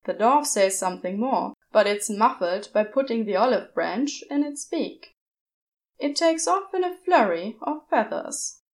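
A woman reading a story aloud as narrator, measured and steady. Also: on the high side. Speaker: podfic narrator.

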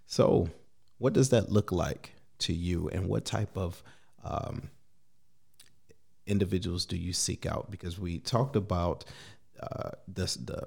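A man hosting a casual podcast interview, energetic, greeting live listeners by name. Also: low-pitched, slow, vocal fry, slightly rough. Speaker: podcast host.

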